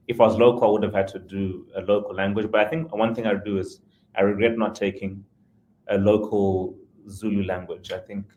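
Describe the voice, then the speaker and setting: Low-voiced man from Zimbabwe, rambling. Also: a little mellow, slight background noise. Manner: rambling, self-correcting, chuckles often. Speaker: podcast guest. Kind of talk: casual conversational interview.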